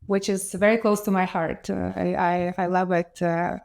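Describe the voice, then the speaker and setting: A woman's voice: on the low side, little pitch movement, moderate pace, creaky, darkish tone. Upbeat Ukrainian-accented woman guest. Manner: enthusiastic, many fillers and restarts, laughs often. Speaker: interview guest, entrepreneur. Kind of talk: casual interview chat.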